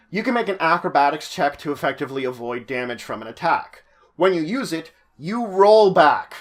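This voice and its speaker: A man with a fairly high-pitched voice hosts an informal roleplaying guide. He explains things to viewers in a chatty way, leaning on key words for emphasis. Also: fairly bright tone. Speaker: host of a tabletop roleplaying guide series.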